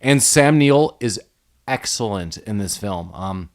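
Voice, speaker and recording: A man's voice: on the low side, lively intonation, slow. A man co-hosting a casual movie podcast, rambling with lots of "like" and frequent laughs. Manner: rambling, heavy on "like", laughs often. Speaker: podcast co-host.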